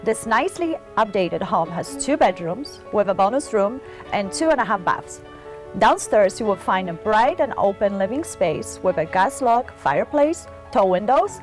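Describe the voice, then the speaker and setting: A woman from Poland with a Polish accent, a real estate agent reading home listings on a radio show in a measured, promotional way. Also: mid-range voice.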